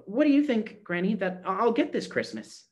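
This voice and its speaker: A man reading a storybook aloud, voicing a cheerful, eager poor little girl with a bright, pleading tone. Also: sits high, a little fast, voice pushed up. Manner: bright, excited, breathless pleading.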